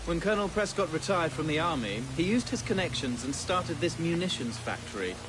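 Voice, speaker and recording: A man's voice: fairly high pitch, big pitch swings, brisk, noisy background. A man acting as a matter-of-fact Victorian investigator recounting a case in a video game. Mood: explaining.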